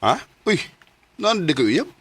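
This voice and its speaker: A man's voice: a little high, big pitch swings, measured pace. A man playing a lovestruck young man in a radio drama, hesitant and stammering as he hides his feelings. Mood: surprised, casual. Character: lovestruck young man hiding feelings for best friend's girlfriend. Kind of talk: radio drama acting in Cebuano. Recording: clearly noisy radio drama recording.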